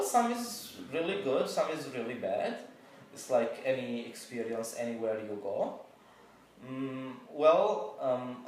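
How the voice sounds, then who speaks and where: Halting man in an echoey room. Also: mid-range voice, a little mellow. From Syria. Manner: slow, halting, trailing off. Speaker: interviewee. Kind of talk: casual interview answers. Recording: echoey room, distant mic, faint noise.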